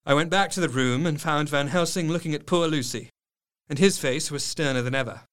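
An actor playing a sorrowful, earnest doctor who narrates a gothic tale in measured, literary phrases. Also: male voice, on the high side, fairly bright tone, smooth, lightly acted. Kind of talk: dramatic scripted narration.

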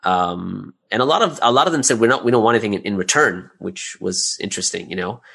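Guy with a lowish voice chatting in an interview, kinda thinking out loud as he explains stuff. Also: lively intonation, fast talker, creaky, slightly rough. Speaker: interview guest, audio drama creator and business owner. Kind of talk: casual conversational interview answers.

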